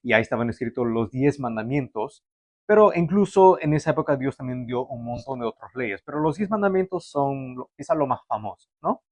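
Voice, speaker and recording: A man's voice: mid-range voice, lively intonation, fairly quick, no room sound. A young man born in Canada, preaching a sermon to a livestream audience in a conversational way, with fillers and restarts. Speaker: pastor.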